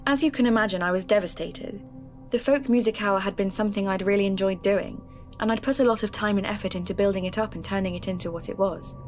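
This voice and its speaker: A woman playing an earnest, determined podcaster, narrating a mystery audio drama in a measured, confiding way. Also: mid-range voice, close to an everyday voice. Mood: confiding, wounded. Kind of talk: scripted first-person audio drama narration.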